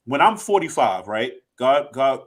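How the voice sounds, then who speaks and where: A man's voice: medium pitch, very animated, a little slow. A man in his late thirties, co-hosting a podcast, talking in a rambling, reflective way with lots of restarts. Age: 30s. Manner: rambling, self-reflective, many restarts and "you know". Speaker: podcast co-host. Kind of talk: casual podcast chat.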